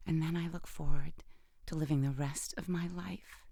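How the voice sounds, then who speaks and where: An actress playing a bitter, wounded imprisoned wife in an audio drama monologue, speaking in a halting, intimate, emotionally charged way with a creaky voice. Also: female voice, deep voice, steady tone, mellow, no room sound, studio quality. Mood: bitter, menacing. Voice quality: gravelly, creaky. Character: bitter, wounded imprisoned wife who killed her abusive husband.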